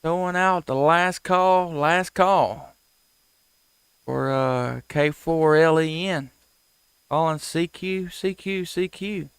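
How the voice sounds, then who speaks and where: A man from talks slowly in a smooth voice with some fry in it. He is a folksy, friendly ham radio operator, chatting casually and calling stations on a live stream. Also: a little high, dry recording. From United States.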